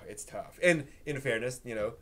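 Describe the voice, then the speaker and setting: A man's voice: medium pitch, moderate pace, a bit bright. A man talking casually as a live stream host, in a chatty, jokey way. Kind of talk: casual group discussion. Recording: clear background noise and ambience.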